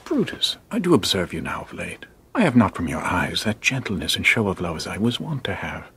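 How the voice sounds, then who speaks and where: An actor playing a persuasive, scheming Roman plotter in a classical radio drama, with coaxing, insinuating delivery. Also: male voice, low voice, huge pitch swings, fairly quick, fairly bright tone, slightly rough, heavily acted.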